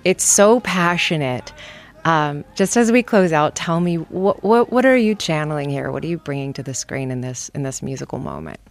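Low-voiced woman interviewer, soft-spoken. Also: expressive, moderate pace, gravelly. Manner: warm, appreciative, soft-spoken questions. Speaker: guest host interviewer. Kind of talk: radio interview.

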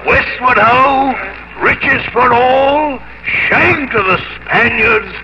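An actor playing a boastful English seafaring adventurer in a 1950s radio drama, rousing and declamatory. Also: male voice, very high-pitched, extremely slow, heavily acted. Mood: rallying. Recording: muffled, noisy old radio broadcast.

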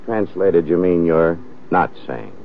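A man's voice: low voice, animated, slow pace, creaky, a little hiss or hum, heavily acted. A man playing a sardonic, probing police lieutenant in an old-time radio drama, dry and needling. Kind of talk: 1940s-50s radio drama acting. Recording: tinny, muffled old-time radio recording.

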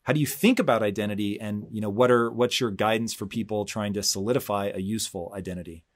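A man's voice: fairly low pitch, fast talker. A man hosting an interview show, speaking in an articulate, inquisitive way. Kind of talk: conversational interviewing.

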